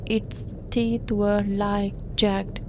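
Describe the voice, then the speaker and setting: A woman narrator delivering a scripted first-person horror account in an ominous manner, with dramatic pauses. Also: mid-range voice, little pitch movement, background noise.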